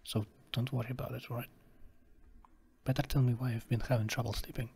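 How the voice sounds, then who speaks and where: A man playing a gentle, caring boyfriend in an ASMR sleep roleplay, with a Russian accent and a soft, breathy, often whispered voice. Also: medium pitch, flat delivery, brisk. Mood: tender reassurance. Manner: soft, soothing, reassuring.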